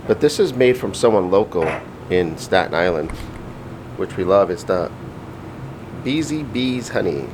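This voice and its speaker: A man chatting casually to viewers as a vlogger, in a rambling way, recorded in a noisy home kitchen. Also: fairly low pitch.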